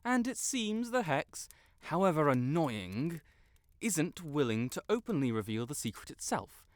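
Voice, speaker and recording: A man's voice: fairly high pitch, very animated, measured pace, no room sound. A man playing a haughty, wordy young wizard who sputters as he is compelled to honesty, in an audio roleplay addressed to the listener. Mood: indignant, haughty. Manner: pompous, theatrical, wordy, sputtering.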